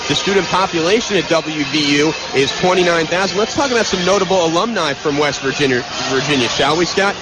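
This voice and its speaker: A man's voice: fairly high pitch, a little fast, hardly any pauses. A man doing live play-by-play basketball commentary for radio, with a clipped delivery. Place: live from a college basketball arena. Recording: noisy arena radio broadcast.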